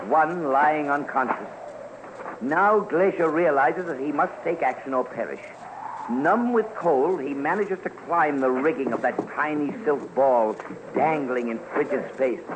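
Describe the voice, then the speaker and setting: A man's voice: mid-range voice, background noise. A man narrating a scripted radio documentary in a theatrical, emphatic old-time style. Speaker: commentator, narrator. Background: music.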